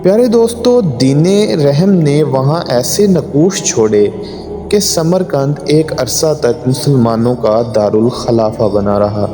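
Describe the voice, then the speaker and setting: A man's voice: medium pitch, lively intonation. Man narrating in long hall reverb over music. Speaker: narrator of moral stories. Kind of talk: dramatic scripted storytelling narration. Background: background music.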